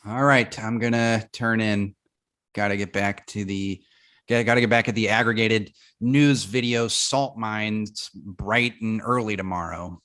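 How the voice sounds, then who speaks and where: A man's voice: lowish voice, steady tone, unhurried, a bit bright. A man playing a sarcastic, grumbling roommate in his twenties at a comedy table read, stumbling over words in an everyday voice. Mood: tired, wry. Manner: sarcastic, stammering.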